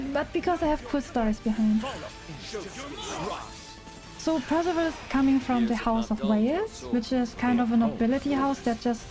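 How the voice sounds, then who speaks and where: A woman's voice: high voice, poor audio. A woman from Germany with a German accent chats casually as a game streamer, in an informal, giggly and enthusiastic way. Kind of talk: casual chatty livestream commentary. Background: music.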